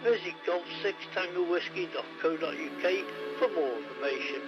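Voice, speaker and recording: A man's voice: sits high, moderate pace. A man reading a scripted amateur radio news bulletin. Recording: narrow band radio broadcast, music underneath.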